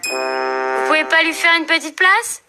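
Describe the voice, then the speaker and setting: A woman voicing an earnest, caring little boy in a cartoon, gently scolding in manner. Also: very high voice, a little slow. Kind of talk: animated cartoon dub acting. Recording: loud music behind the voice.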